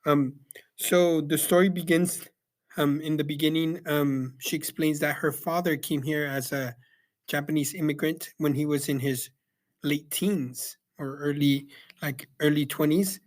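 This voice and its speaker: Young male reviewer, slightly high voice, halting and filler-heavy delivery, casual. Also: steady tone. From El Salvador. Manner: hesitant, many ums and restarts. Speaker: book reviewer. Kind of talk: casual spoken book review. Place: Yakima, Washington.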